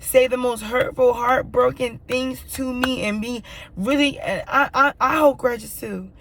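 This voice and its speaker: A woman with a raspy, hoarse voice from yelling, talking through a candid personal monologue in an emphatic, repetitive way and swearing freely. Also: high-pitched, steady tone, measured pace, slight background noise. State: hoarse, dry throat from yelling. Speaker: vlogger.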